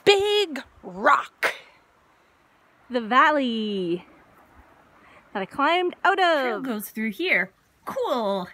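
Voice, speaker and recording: A woman in her thirties chatting casually in short, relaxed remarks as she films a hiking vlog on a phone. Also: on the high side, slow, pauses often. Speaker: hiker vlogger. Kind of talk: casual trail vlog narration to camera. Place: outdoors on mountain trail, sometimes inside hut. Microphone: handheld phone at arm's length.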